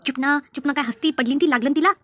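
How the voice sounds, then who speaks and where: A woman voicing a practical, chiding village woman in a comedy cartoon, brisk and no-nonsense. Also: sits high, fairly bright tone, no echo, dull audio, faint music behind. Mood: scolding, concerned. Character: practical, scolding village woman, a concerned friend. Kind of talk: animated comedy cartoon dialogue.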